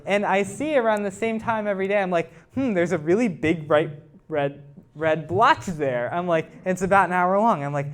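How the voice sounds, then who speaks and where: A man with a slightly high voice presents technical research to a live audience. He explains it in an informal, conversational way and engages his listeners with questions. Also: moderate pace. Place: live talk to a club audience.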